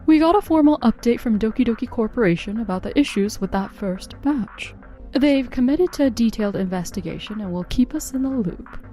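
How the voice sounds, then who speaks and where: A woman's voice: a little high, lively intonation, a little fast, warm tone, faint music behind, close to an everyday voice. A woman playing a businesslike, matter-of-fact office colleague in a scripted manga dub. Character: businesslike female office colleague reporting problems.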